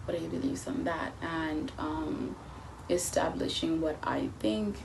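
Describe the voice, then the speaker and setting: Soft-spoken young woman musing aloud. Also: deep voice, fairly even intonation, measured pace, creaky, background noise. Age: 20s. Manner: earnest, musing, trails off into soft near-whispers. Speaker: vlogger. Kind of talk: reflective personal vlog.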